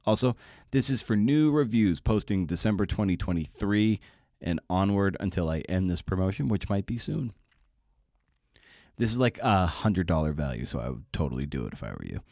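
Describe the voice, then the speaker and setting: A man's voice: low-pitched, expressive, moderate pace, a little mellow, no room sound, studio quality. A man hosting a podcast in a casual, rambling monologue, with informal, slangy, self-deprecating phrasing. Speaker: podcast host.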